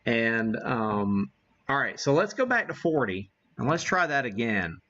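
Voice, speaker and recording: An American man hosting a casual live demo, thinking aloud in a folksy way, on muffled low-fidelity stream audio. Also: very animated, a little slow, bright, forceful tone. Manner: folksy, mild homespun exclamations. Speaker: livestream host demonstrating ham radio gear.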